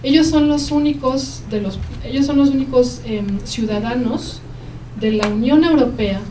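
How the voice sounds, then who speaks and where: A woman with a Mexican Spanish accent giving a university lecture, explaining at length and pausing often with "eh" and "em" as she answers questions. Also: a little high, warm tone. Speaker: guest lecturer. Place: lecture hall. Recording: roomy hall, mic at a distance, faint noise.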